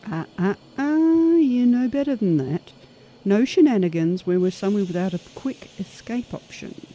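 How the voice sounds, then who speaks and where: Woman as a mellow, folksy monster adventurer. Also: mid-range voice, lively intonation, moderate pace, dull audio, slight background noise, natural voice. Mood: practical, teasing. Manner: folksy, plain-spoken, coaxing. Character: practical, upbeat superhuman woman, a scheming monster adventurer. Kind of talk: audio horror-comedy drama acting.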